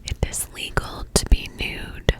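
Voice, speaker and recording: A Canadian woman whispering close to the mic in an ASMR video, breathy with soft mouth sounds. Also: measured pace. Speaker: ASMR creator.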